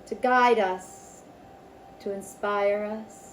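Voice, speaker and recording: A woman leading a spiritual circle in a slow, ceremonial manner, with guided meditation and invocations and pauses between phrases. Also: mid-range voice, fairly even intonation, a little hiss or hum, low-quality recording. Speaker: Reiki circle leader.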